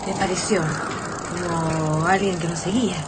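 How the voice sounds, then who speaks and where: A woman's voice: lowish voice, fairly even intonation, normal speed, lightly acted. A woman playing a curious, watchful survivor in a radio drama, with an Argentine accent. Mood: uneasy. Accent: Argentine Rioplatense. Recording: noisy radio drama with effects.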